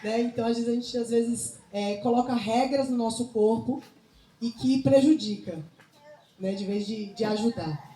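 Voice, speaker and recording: Female speaker, Bahian accent, informal instructive talk with frequent check-ins; mellow tone, echoey room with faint noise. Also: on the high side, normal speed. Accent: Bahian Brazilian. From Salvador, Bahia, Brazil. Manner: didactic, often seeking agreement with tag questions. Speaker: nutrition speaker and missionary. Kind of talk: informal public talk with religious touches. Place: indoor talk to a women's group.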